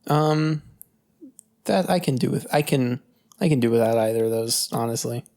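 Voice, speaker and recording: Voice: mid-range voice, moderate pace, darkish tone. A podcast co-host chatting casually about movie news, swearing freely and often.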